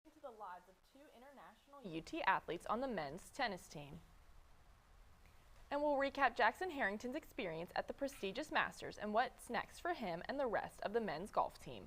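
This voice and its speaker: A young woman anchoring a student newscast, reading smooth transitions. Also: a little high, very animated, normal speed, a bit bright. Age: young adult. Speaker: news anchor. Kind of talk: read news script.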